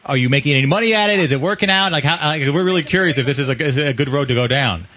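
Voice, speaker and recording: A man's voice: on the high side, racing, pushed and bright, dry recording. A man in his late thirties co-hosting a podcast, talking with hesitant starts and repeated words. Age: 30s. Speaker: podcast co-host. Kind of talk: casual podcast chat.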